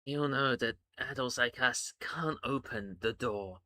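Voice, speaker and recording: A woman voicing a cold, unhinged adult woman who speaks slowly and heavily, low and quiet, building to threats. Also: no room sound, studio quality, exaggerated voice. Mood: slow menace. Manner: slow, heavy, low and quiet, then swelling.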